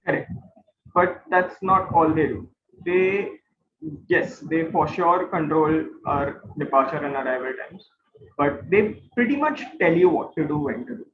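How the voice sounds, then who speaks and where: A man's voice: on the high side, a little slow. Echoey, phone-like Indian man teaching. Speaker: instructor, guide. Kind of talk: informal classroom lecture with questions to students. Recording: roomy, tinny phone-like online class audio.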